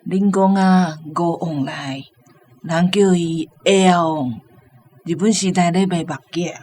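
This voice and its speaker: A woman playing a 72-year-old Taiwanese mother recalling the past haltingly in a radio drama. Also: fairly low pitch, fairly even intonation, darkish tone. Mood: reminiscing.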